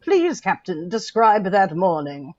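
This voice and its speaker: A woman's voice: mid-range voice, sing-song, slow, darkish tone, no echo, lo-fi sound. A woman playing a stern, formal official who questions witnesses at an inquest in an audio drama. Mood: formal, probing. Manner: formal, courtly, commanding.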